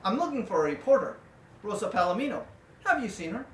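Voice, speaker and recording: A performer plays an earnest male wrestler hero in a comedy sketch, speaking with flat, literal politeness though the pitch moves a lot. He sounds set back in an echoey room. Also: fast talker, a little hiss or hum, lightly acted. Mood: deadpan. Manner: deadpan, overly literal and polite. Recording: roomy echo, distant mic.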